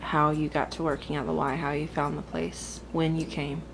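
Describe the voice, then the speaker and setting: A woman's voice: sits low, flat delivery, moderate pace, vocal fry, slight background noise, poor audio. A woman casually interviewing friends for a vlog with short prompts and questions.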